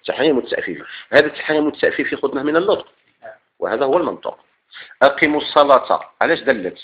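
Moroccan male teacher, classroom lecture, quick patter of questions and answers, dull lo-fi recording. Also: mid-range voice, sing-song. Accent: Moroccan Arabic. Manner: quizzes students with repeated questions and answers. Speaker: teacher of Islamic legal theory.

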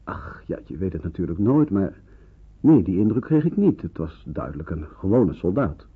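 A man's voice: low-pitched, sing-song, breathy edge, full character voice. A man acting a courteous, thoughtful village pastor in a Dutch wartime radio drama. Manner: measured, polite, thoughtful. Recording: muffled, band-limited old radio recording.

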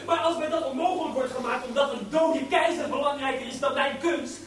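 A proud, volatile composer in a radio play, with a high voice for a man. He is theatrical and bitingly sarcastic, able to move between muttering and shouting. There is clear noise on the recording. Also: fairly quick. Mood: furious, defiant. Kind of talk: Dutch radio drama acting.

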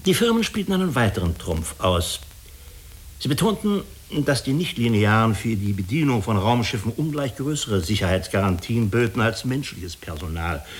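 A man playing a diplomatic, persuasive agency official in a German radio drama, speaking formally and deliberately with small hesitant pauses. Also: fairly low pitch, expressive, close to an everyday voice. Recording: clearly hissy radio recording.

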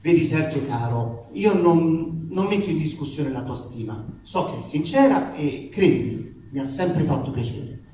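In a very echoey space, picked up from a distance, a man reads a letter aloud as comic theatre. His voice sits a little high for a man. The delivery is emphatic and ironic, full of grievance and colloquial swearing. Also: expressive, unhurried, dark tone. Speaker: actor reading aloud. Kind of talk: comic theatrical reading of a letter to a live audience. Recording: echoey room, distant mic, faint noise.

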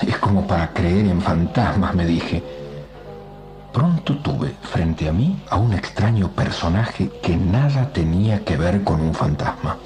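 Radio drama narrator: astonished writer, very low mellow voice, suspenseful pacing, faint music underneath. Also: male voice, lively intonation, measured pace. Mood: suspenseful wonder. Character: astonished male comics writer narrating a strange visitation.

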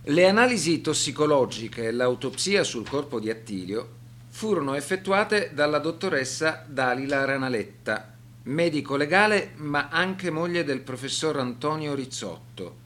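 A man reading scripted radio documentary narration in a measured, serious, formal manner. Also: mid-range voice, a bit bright.

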